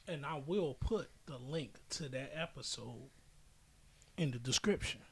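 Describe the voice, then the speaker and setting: A man's voice: medium pitch, expressive, a little slow, gravelly, fairly bright tone, husky. A man hosting a podcast in casual, opinionated chat with a blunt way of talking. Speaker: podcast host.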